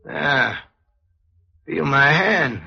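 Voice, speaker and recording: An actor playing a weary, stubborn middle-aged husband, tense and insistent, in 1940s radio drama style. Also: male voice, fairly high pitch, expressive, drawn-out words, creaky, bright, forceful tone, breathy edge, exaggerated voice. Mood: urgent. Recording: muffled, hissy vintage radio recording.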